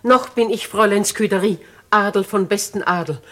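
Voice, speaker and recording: Woman playing a husky, dignified old noblewoman. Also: mid-range voice, a bit bright, lightly acted. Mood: resolute. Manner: grave, measured, stately delivery. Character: dignified, compassionate, resolute aristocratic old lady. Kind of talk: 1950s German radio play acting. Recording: vintage 1950s radio play recording.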